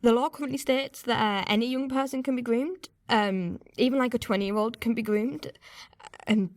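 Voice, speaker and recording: A young woman playing a young woman uneasily recalling her past in a halting, candid radio-play monologue. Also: on the high side, natural voice. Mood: frank, indignant. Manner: hesitant, halting, candid, swearing. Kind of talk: naturalistic confessional monologue.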